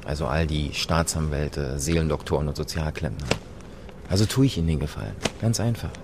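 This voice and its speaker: Radio satire: male actor as unrepentant criminal, deep, colloquial and rambling, noisy location tape. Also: animated, fairly quick. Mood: cynical, defiant. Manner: colloquial, rambling, shrugging, full of filler words. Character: cynical male repeat violent criminal, plain-spoken, unrepentant. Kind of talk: satirical radio play, mock interview clip.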